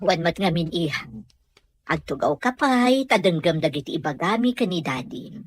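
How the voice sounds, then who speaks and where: A woman's voice: on the low side, lively intonation, moderate pace, slightly rough. A woman playing a concerned mother in an audio drama, coaxing and pleading with an Ilocano Filipino accent. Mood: persuading.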